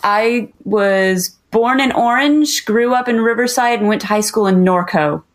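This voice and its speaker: A woman from Southern California, a guest on a podcast, telling about her hometown in a chatty, lively way. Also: on the high side, unhurried. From Southern California, USA. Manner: chatty and lively, laughs often. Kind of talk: casual podcast conversation.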